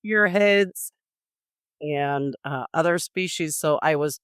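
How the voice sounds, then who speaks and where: A man's voice: fairly high pitch, slow pace, a bit bright, clear, resonant tone, no echo, studio quality. A man hosting a podcast, asking questions in a casual, conversational way. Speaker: podcast host.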